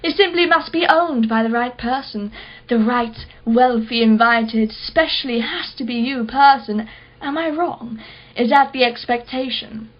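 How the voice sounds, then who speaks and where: A woman's voice: a little high, a little slow, highs cut off. An actress playing a wealthy, condescending and sardonic female art collector in a radio play. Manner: condescending, mocking, self-satisfied.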